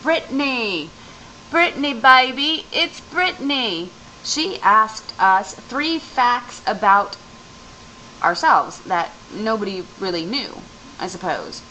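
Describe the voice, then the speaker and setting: A woman's voice: on the high side, sing-song, slow pace, sharp tone, a little hiss or hum, poor audio. A young woman vlogging at home, rambling casually to her camera about packing and personal things. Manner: chatty, rambling, loose and informal. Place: home room among moving boxes.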